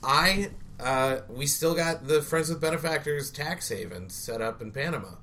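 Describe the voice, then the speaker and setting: A man's voice: on the high side, moderate pace, bright, forceful tone, slight background noise. A young man co-hosting a comedic podcast, goofy and playfully insistent. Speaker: podcast co-host.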